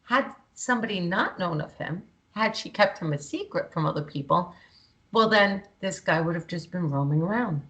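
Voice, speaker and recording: A woman's voice: lowish voice, expressive, moderate pace, a bit bright, low-quality recording. A woman co-hosting a talk show, speaking bluntly and passionately, swearing freely. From Greek heritage. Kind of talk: heated political talk.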